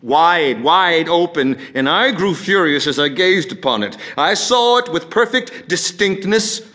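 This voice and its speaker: An obsessive madman confesses his crime with feverish glee, as acted out by a man. His mid-pitched voice is theatrical and halting, lingering on words and repeating them. It is delivered as a gothic horror monologue. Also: lightly acted. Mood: furious, manic. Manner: theatrical, halting, with drawn-out words and stammered repetitions.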